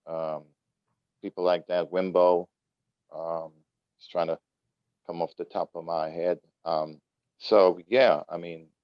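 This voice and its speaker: A man's voice: sits low, smooth, no echo, highs cut off. A middle-aged man from Trinidad, a soccer coach, talking casually as an interview guest with many fillers. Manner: relaxed, unhurried, many fillers.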